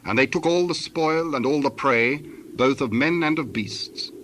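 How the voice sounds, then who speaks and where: Solemn male scripture narrator, medium pitch. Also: unhurried, fairly bright tone, a little hiss or hum.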